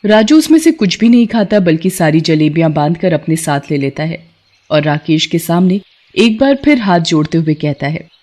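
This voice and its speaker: A woman's voice: fairly low pitch, sing-song, normal speed, a little mellow. A woman reading scripted narration for a children's moral story.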